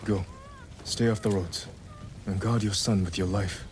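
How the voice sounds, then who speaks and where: An actor voicing a grave, terse, resolute samurai warrior bent on vengeance in a cinematic video game. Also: male voice, on the low side, steady tone, a little slow, a little mellow, slight background noise, close to an everyday voice. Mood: urgent.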